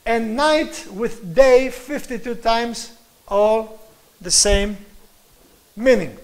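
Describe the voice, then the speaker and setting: A man's voice: very high-pitched, drawn-out words. A middle-aged man with a Greek accent giving an earnest slide lecture, stressing his points. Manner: earnest, emphatic, chuckles at own points.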